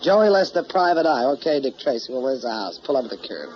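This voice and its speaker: Actor as animated wisecracking newspaper reporter. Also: male voice, mid-range voice, fast talker, lightly acted. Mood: wry. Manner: casual, grumbling, slangy. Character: wisecracking, put-upon male newspaper reporter. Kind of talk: 1940s radio drama acting. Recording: muffled, lightly noisy old radio recording.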